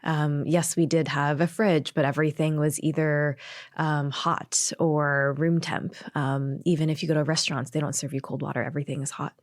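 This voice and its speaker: A woman's voice: sits low, flat delivery, normal speed, dry recording, clean studio sound. A woman from Hong Kong answering questions conversationally as a podcast guest and doctor.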